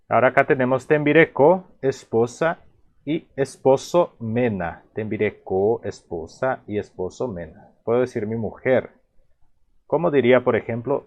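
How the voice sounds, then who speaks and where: A man from Paraguay with a Paraguayan Spanish accent and a Guarani mother tongue, teaching phrases in a relaxed, halting way with many repeats and restarts. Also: medium pitch, highs cut off. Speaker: language teacher. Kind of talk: explaining vocabulary in a video lesson.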